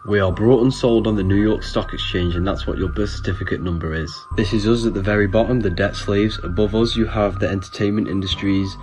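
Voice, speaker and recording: A man lecturing in short, flat claims in a social media clip. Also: lowish voice, brisk, few pauses, a little hiss or hum. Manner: declarative, lecturing. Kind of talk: social media conspiracy monologue. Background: music bed.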